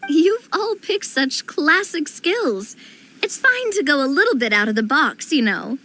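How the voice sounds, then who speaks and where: Bold, teasing thrill-seeker. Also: female voice, high-pitched, sing-song, unhurried, noisy background. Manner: playful, teasing, enthusiastic. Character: bold young woman who loves risks. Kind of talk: game voice acting.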